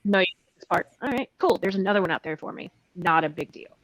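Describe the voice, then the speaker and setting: Female panelist, slightly low register, conversational, close dry recording. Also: lively intonation, fast talker, no room sound, poor audio. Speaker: voice actor on a panel. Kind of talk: casual panel chat. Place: indoors on a video call.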